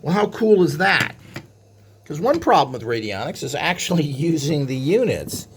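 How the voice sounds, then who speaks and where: A man's voice: a little high, sing-song, normal speed. Blunt man rambling over background noise. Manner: blunt, griping. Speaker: presenter demonstrating vintage equipment. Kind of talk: casual unscripted show-and-tell with opinionated rambling.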